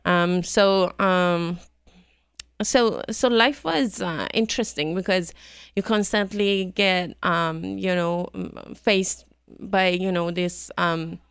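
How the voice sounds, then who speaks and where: A middle-aged woman from Iran speaking as a guest on an interview show, hesitant with many pauses and fillers and laughing easily. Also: fairly low pitch, a bit bright, clear, resonant tone, no room sound, clean studio sound. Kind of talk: conversational interview.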